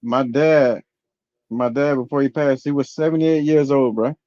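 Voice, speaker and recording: A man's voice: medium pitch, steady tone, normal speed, darkish tone, dry recording. A man in his forties chatting casually and laid-back, saying "bro" a lot. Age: 40s. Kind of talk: casual chat. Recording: dull lo-fi sound.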